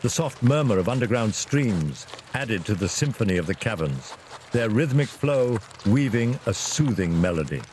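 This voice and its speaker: A man's voice: lowish voice, sing-song, normal speed, darkish tone, slight background noise. A man narrating a scripted fantasy story in a dramatic storytelling style.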